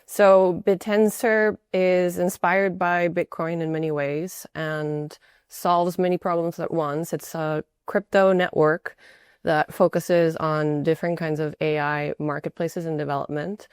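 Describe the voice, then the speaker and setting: A woman from Peru, a startup co-founder, explaining her work in a measured way as a podcast guest. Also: low voice, flat delivery, clear, resonant tone, dry recording, clean studio sound. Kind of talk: podcast interview.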